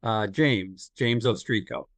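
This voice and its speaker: An actor playing a shy, awkward writer in an audio play, speaking in a halting, apologetic way. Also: male voice, on the low side, a bit bright, no room sound. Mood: shy, hesitant. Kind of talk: naturalistic audio drama dialogue.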